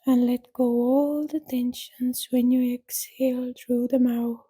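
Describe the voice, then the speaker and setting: A woman guides a deep relaxation session extremely slowly, leaving long gaps between short, gentle phrases. Her voice is soft and breathy, with a very even, unchanging melody and a dark, relaxed tone. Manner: soothing, gentle instruction with long pauses. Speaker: yoga nidra guide. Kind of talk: guided relaxation meditation, scripted.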